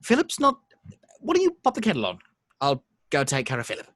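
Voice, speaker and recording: A woman's voice: low voice, huge pitch swings, brisk, no room sound, clean studio sound, exaggerated voice. A woman reading the part of an anxious, well-meaning young slacker man in an amateur film script table read. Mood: wary.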